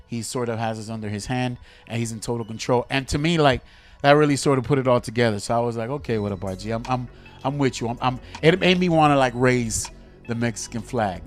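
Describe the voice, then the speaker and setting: A man from Miami co-hosting a podcast, talking music in an animated, slangy way with frequent restarts. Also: medium pitch. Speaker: podcast co-host. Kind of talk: casual music chat.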